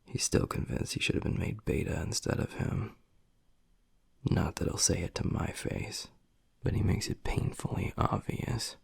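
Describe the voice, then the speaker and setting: A deep, heavily creaky male werewolf alpha, acted by a man, speaks in an intimate roleplay. He is blunt and dry, with a protective, tender streak under the gruffness. The recording is close and dry. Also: normal speed, no echo, exaggerated voice. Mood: stern, irritated. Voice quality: husky, gravelly, breathy low voice. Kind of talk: intimate boyfriend audio roleplay, close and quiet.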